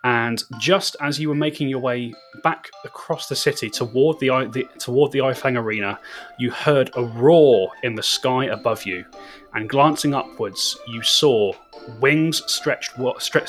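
A man's voice: medium pitch, fairly bright tone. A man running a tabletop game as game master, narrating the scene with many repeats and restarts. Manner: stammering, repeats words, self-deprecating.

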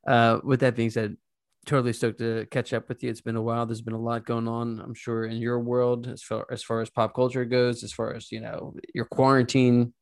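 A man with a gravelly, creaky edge to his voice hosts a podcast. He talks informally with lots of slang, chatting loosely and laughing easily at a middling pitch. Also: level tone, brisk. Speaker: podcast host. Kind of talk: casual podcast chat.

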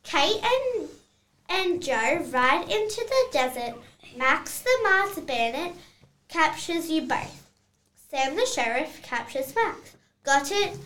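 A high, animated voice of an impatient movie director acted by a child. The delivery is slow and bossy, reading out a play in an echoey room. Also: full character voice. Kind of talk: children's read-aloud play.

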